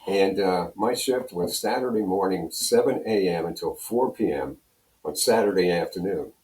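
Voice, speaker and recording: A man's voice: low-pitched, normal speed, darkish tone. An American man in his seventies telling stories in a casual, rambling way as an interview guest, often repeating his phrases. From Pennsylvania, United States. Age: 70s. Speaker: interview guest, radio program director and on-air personality. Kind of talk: casual storytelling reminiscence. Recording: roomy, echoing room sound.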